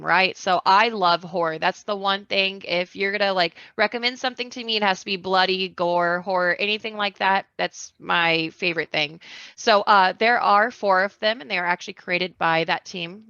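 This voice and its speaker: A woman speaks casually as a podcast guest in a bright, forceful voice of average pitch. The recording is very dry, with slightly dulled, band-limited sound. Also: smooth, dry recording, highs cut off.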